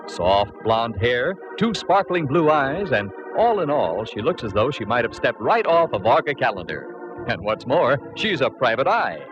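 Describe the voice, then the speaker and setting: 1940s radio announcer, male actor: American, playful and theatrical, very lively inflection, muffled old broadcast. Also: mid-range voice, normal speed, lightly acted. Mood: jaunty, teasing. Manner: playful, theatrical salesman patter. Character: jaunty, teasing male radio show announcer. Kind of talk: 1940s radio introduction, scripted patter. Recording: dull 1940s radio recording. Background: music.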